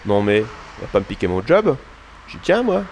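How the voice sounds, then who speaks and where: A man's voice: on the low side, very animated, normal speed, darkish tone, slight background noise, low-quality recording. A man playing a possessive, self-important narrator protesting for his job in a French audio comedy. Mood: indignant. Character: indignant, possessive male saga narrator guarding his job.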